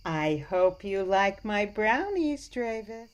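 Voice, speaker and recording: A woman's voice: mid-range voice, big pitch swings, slow pace, clean tone. A woman playing a weary but sweet and wry elderly grandmother in a radio play. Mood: sweet, musing.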